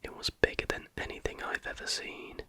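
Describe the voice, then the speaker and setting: An ASMR host reading factual material aloud in a breathy, unvoiced whisper with a measured, hushed delivery. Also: dry recording.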